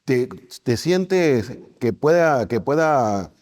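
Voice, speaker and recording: A man's voice: mid-range voice, animated, very slow, darkish tone. A man hosting a podcast interview in a conversational style, rephrasing as he forms his questions.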